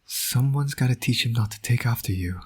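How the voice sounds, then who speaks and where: A man playing a flirtatious, teasing supervillain and doting father in an intimate audio roleplay, with a husky, breathy voice. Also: mid-range voice, fairly quick, darkish tone. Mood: playful doting.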